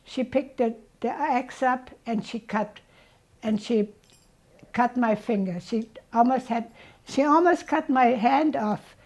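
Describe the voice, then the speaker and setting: Slow-talking elderly woman. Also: fairly high pitch, darkish tone. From Germany. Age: 80s. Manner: slow, reflective storytelling. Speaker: interviewee. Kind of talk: reminiscing about childhood.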